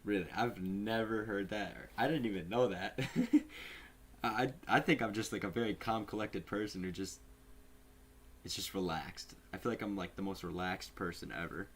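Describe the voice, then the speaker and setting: A young man co-hosting a podcast in casual chat, relaxed, laughing often, with lots of "like" fillers. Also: on the low side, normal speed, slight background noise. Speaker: podcast co-host.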